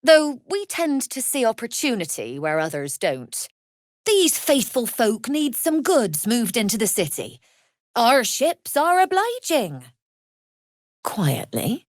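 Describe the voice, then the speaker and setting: Wry female smuggler, lively intonation. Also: fairly high pitch, slow pace, no echo, studio quality, exaggerated voice. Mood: sly, salesmanlike. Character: wry, shrewd female smuggler-merchant, coolly amoral. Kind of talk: video game character acting.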